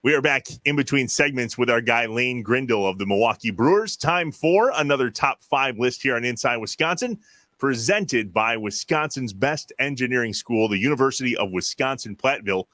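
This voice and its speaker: A man's voice: medium pitch, expressive, normal speed, sharp tone, dry recording. A man hosting a sports podcast, moving between casual chat and sponsor reads. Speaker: podcast host.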